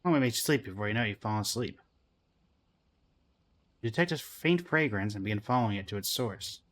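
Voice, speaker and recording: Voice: medium pitch, lively intonation, a little fast, a bit bright. A streamer giving casual, muttered, wry reaction commentary while playing a game.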